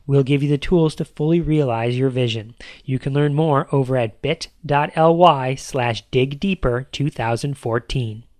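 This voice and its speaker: A man's voice: mid-range voice, moderate pace, a little mellow, no room sound. Upbeat male podcast host, dry close mic. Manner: upbeat, promotional. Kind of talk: scripted podcast narration.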